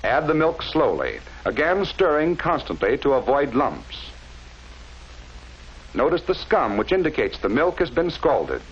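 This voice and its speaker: A man narrating a scripted 1940s instructional film in a measured, didactic way with careful enunciation, on a vintage film soundtrack. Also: a little high, wildly swooping pitch, slightly rough, lo-fi sound.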